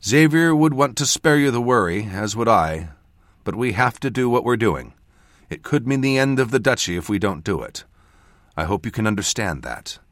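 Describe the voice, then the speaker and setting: A man's voice: mid-range voice, lively intonation, normal speed, fairly bright tone, dry recording, studio quality, voice pushed up, natural voice. A man voicing an earnest, defensive male mage mentor in an audiobook, given to careful explanation. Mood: gentle, earnest. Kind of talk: audiobook character voice.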